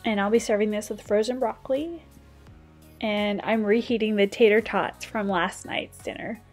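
A woman's voice: mid-range voice, steady tone, normal speed, soft background music. Chatty mom narrating her cooking. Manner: chatty, practical, explains tips as she goes. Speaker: stay-at-home mom cooking vlogger. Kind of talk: casual cooking voice-over.

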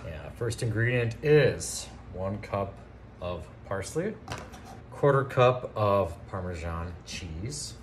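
Thirties male co-host, lowish voice, easygoing home-kitchen demo on phone camera. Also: slow pace, slight background noise. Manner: relaxed, talks while cooking, step by step. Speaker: cooking show co-host. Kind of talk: casual cooking demo. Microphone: phone camera at counter distance.

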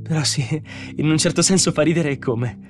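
A man's voice: fairly high pitch, fairly quick, soft background music, voice pushed up, close to an everyday voice. A man voicing a tense, sarcastic woman robot psychologist with an agitated, emphatic delivery in an audiobook story. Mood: bitter irony.

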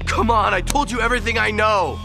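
An actor playing a frightened, defensive tattoo artist pleading in a video game. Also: male voice, sits high, fairly quick, creaky, over loud music. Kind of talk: video game cutscene acting.

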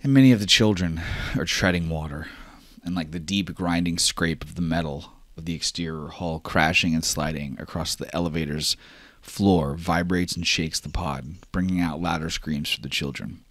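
A man's voice: sits low, steady tone, normal speed, a bit bright, no echo. A man narrating as game master of a tabletop role-play, describing scenes casually.

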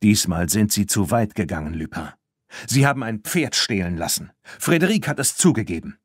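A man's voice: fairly low pitch, lively intonation, normal speed, breathy edge, no room sound, clean studio sound. Stern marquis for children's audiobook, firm and accusatory, man of authority, done by a male narrator.

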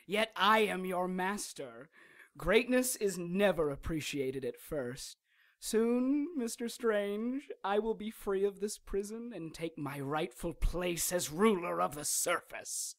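Slow, taunting, gloating villainess. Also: female voice, fairly low pitch, expressive. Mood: smug, menacing. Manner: taunting, theatrical, drawn-out delivery. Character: gloating villainess, smug imprisoned would-be ruler. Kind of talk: radio drama acting.

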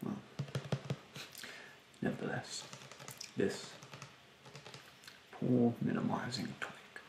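A young man playing a rude, condescending facial therapist in an ASMR roleplay, speaking softly and breathily in a haughty, halting manner. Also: reverberant, slight background noise, close to an everyday voice, rough recording. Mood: snide, dismissive. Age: young adult. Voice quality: soft, breathy, partly whispered. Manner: haughty, halting, drawn-out delivery. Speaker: ASMR performer playing a beautician. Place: bedroom, indoors.

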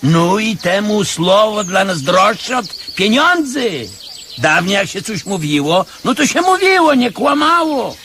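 A man's voice: high voice, big pitch swings, a little slow, bright, forceful tone, a little hiss or hum, heavily acted. An actor playing a combative, sly male beggar who declaims, in a radio comedy drama. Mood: preachy. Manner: declamatory, folksy, cutting.

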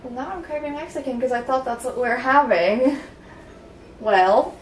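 A woman's voice: sits high, normal speed, echoey room, far from the mic, a little hiss or hum, poor audio. A young woman friend in a kitchen, groggy and playfully teasing in casual chat. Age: young adult. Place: home kitchen.

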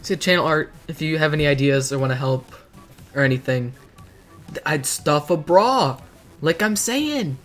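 A teenage boy, hoarse from hours of streaming, chatting casually and rambling while reacting to his chat in a dim bedroom. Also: on the high side, expressive, a little slow, a bit bright, faint music behind.

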